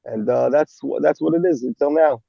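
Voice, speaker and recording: Brief: young man, gravelly, halting with fillers, dull dry recording. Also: a little high, no room sound. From Israel. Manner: halting, many fillers and restarts. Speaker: army rabbi. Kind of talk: interview guest.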